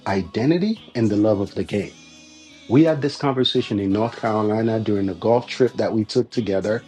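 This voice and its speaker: A man from Haiti with a Haitian accent, hosting a casual golf podcast interview in a lively, laughing way. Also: mid-range voice. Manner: animated, laughs easily, says amen. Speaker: podcast host. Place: country club patio. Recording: outdoor patio with music behind.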